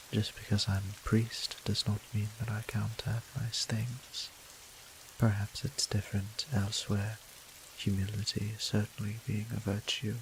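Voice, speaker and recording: A man playing a slow, gentle vampire priest. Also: fairly low pitch, completely flat delivery, poor audio. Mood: warm, reassuring. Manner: soft, breathy, courteous, old-fashioned phrasing. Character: gentle, teasing male vampire priest tending a stranger. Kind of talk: slow intimate audio roleplay, speaking to the listener. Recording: clear hiss and rain sound behind.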